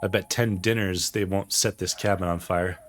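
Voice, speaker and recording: A man's voice: low voice, steady tone, moderate pace, slight background noise, close to an everyday voice. A man voicing a practical, blunt, teasing male hunter in a visual novel, speaking matter-of-factly. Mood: practical, confident. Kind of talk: visual novel character read aloud.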